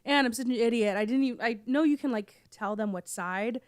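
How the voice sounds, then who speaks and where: A young woman, still hoarse from being sick, chats casually on a podcast and coughs now and then. She rambles through fillers and restarts. Her voice comes through smooth and close, with no room sound. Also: a little high, fairly quick, dry recording. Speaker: podcast co-host. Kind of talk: casual chat.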